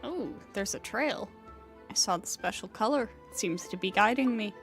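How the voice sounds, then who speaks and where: A woman's voice: medium pitch, expressive, normal speed, creaky, fairly bright tone, soft background music. A woman playing a focused, determined young traveling adventurer in a video game. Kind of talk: English video game voice acting.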